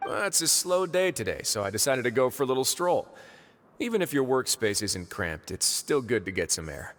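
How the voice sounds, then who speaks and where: A man playing a calm, wry, authoritative prison overseer in a video game, speaking in a composed, formal way. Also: medium pitch, normal speed, a little hiss or hum. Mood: wry, relaxed. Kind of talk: video game character voice acting.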